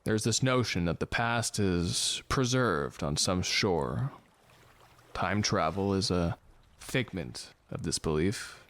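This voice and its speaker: An actor playing a gloomy, philosophical man on a ship in an audio drama, with a solemn deadpan delivery. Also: sits low, slow pace, no room sound, heavily acted. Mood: somber, musing. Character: gloomy philosophical ship's man, fatalistic and slow to romance.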